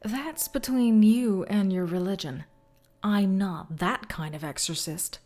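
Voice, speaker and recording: A woman's voice: medium pitch, measured pace, darkish tone, soft background music, natural voice. A woman voicing a tough, wary female exorcist in an audio drama, speaking bluntly and curtly. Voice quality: blunt, curt delivery. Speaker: voice actor in a fan audio drama.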